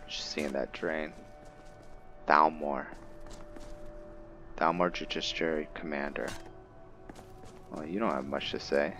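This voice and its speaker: A man's voice: very deep voice, steady tone, slow, long pauses, fairly bright tone. A man giving casual roleplay commentary on a game, thinking aloud and slipping into character. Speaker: gaming host. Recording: dull lo-fi mic, music behind.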